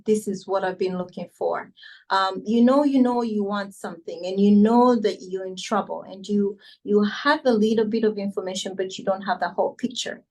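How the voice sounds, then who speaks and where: A woman's voice: mid-range voice, low-quality recording. A middle-aged woman with a Ugandan accent, speaking informally and directly to an online audience as she gives a welcome and testimonial. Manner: chatty, conversational, addressing listeners directly. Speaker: speaker introducing a coach at an online financial seminar.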